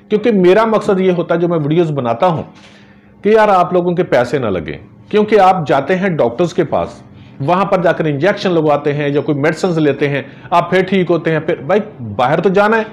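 A middle-aged herbalist speaks earnestly to a fixed camera at his desk, in a slightly raised pitch. He gestures as he walks viewers through a home remedy, slipping religious phrases into his advice. The sound is that of a small office lined with framed photos. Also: a little mellow.

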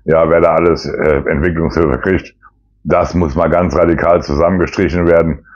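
A man's voice: rumbling low, fairly even intonation, moderate pace, dull audio. A man in his fifties giving blunt, colloquial political commentary to camera in a disapproving manner, as a politician hosting a weekly round-up. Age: 50s. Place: small plain indoor room.

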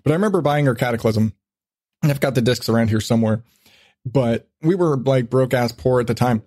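A man's voice: medium pitch, brisk, darkish tone, dry recording, studio quality. Man, podcast host, mid-range voice, casual, profane, rambling, self-mocking.

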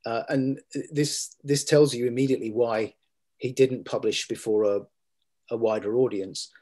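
A middle-aged man, a guest scholar, talking in a measured and halting way with many fillers and restarts, recorded over a video call from a room at home. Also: medium pitch, fairly even intonation, dark tone. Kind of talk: academic discussion on a video call.

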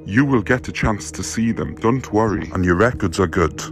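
An actor playing a concerned, sympathetic prison jailer in an audio drama, with an official manner. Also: male voice, fairly low pitch, lively intonation, moderate pace, over music. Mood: reassuring.

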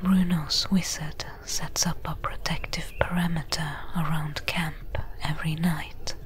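A woman playing a practical, caring desert adventurer in an ASMR roleplay, giving gentle advice in a breathy whisper. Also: low voice, little pitch movement. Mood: gentle, advising. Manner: gentle, unhurried, advising.

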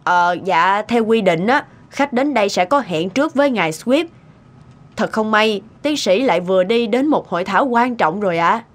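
A woman's voice: mid-range voice, lively intonation, normal speed, natural voice. A woman playing a courteous, composed lady in a cartoon. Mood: polite. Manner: polite. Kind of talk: animated cartoon dialogue. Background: music.

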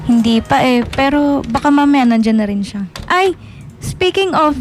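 A woman's voice: sits high, animated, moderate pace, a little hiss or hum. A woman acting a kindly, protective but timid younger sister in a Filipino radio drama. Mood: friendly.

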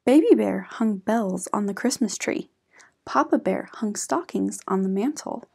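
A woman reading a children's picture book aloud in an expressive, deliberate storytelling manner. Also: medium pitch, gravelly, slightly rough. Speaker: read-aloud channel host.

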